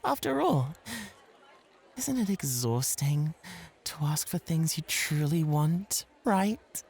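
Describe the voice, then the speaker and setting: Man acting flirtatious male host, breathy husky voice, very animated intonation, coy, playful, competitive, ASMR roleplay. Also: fairly high pitch, slow pace, heavily acted. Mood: coy, boastful.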